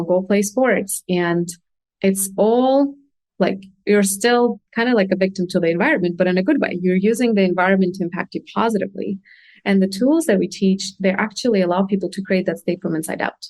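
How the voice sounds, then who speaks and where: A woman's voice: medium pitch, fairly quick, darkish tone, no echo. Earnest woman, dry close sound. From Russia. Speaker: podcast guest, consultant and coach. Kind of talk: conversational interview explanation.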